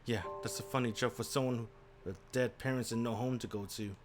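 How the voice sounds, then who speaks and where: A man voicing a cruel, sneering village bully boy who shouts and whines. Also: mid-range voice, steady tone, fairly quick, soft background music, natural voice.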